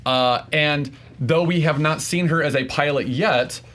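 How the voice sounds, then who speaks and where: A man's voice: fairly high pitch, a little slow, a bit bright. A man arguing informally as a contestant on a film debate show, in a hesitant delivery full of fillers. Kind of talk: informal argument about films.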